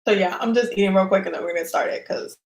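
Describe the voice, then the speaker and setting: A woman's voice: medium pitch, racing, gravelly, reverberant, a little hiss or hum. A woman hosting a casual tarot live stream who chats and laughs while she eats. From Dominican. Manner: chatty and laughing, talks while eating.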